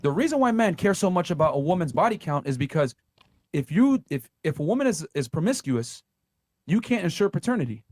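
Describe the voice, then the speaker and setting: A man in a played video clip, arguing in a fast, insistent, lecturing way. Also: on the high side, sing-song, a little mellow, no echo. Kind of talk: argumentative lecture.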